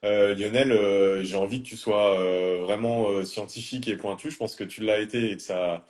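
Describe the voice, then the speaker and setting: A man hosting a live video talk, speaking in a relaxed, friendly way with many fillers. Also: on the low side, moderate pace. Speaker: host. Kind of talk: casual live interview. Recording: echoey room.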